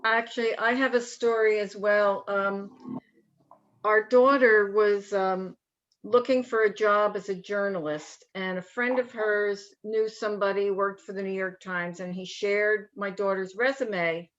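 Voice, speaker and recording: A middle-aged woman, mother of a grown daughter, sharing a story in a discussion on a video call, speaking in a measured way with fillers. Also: medium pitch, fairly even intonation, a bit bright. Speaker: participant. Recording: dull lo-fi call audio.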